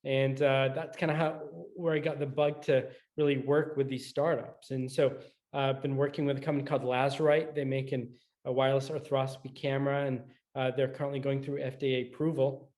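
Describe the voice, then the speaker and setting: A middle-aged man originally from Scotland speaks as an interview guest. His pitch is mid-range and stays quite flat, and he talks in a measured, conversational way, pausing often as he forms his answers. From Scotland, moved to the US in high school. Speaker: guest, orthopedic surgeon.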